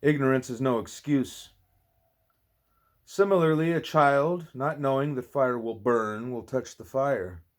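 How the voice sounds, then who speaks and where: Male reader, medium pitch, spiritual audiobook narration, slightly halting delivery. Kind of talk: reading a spiritual text aloud.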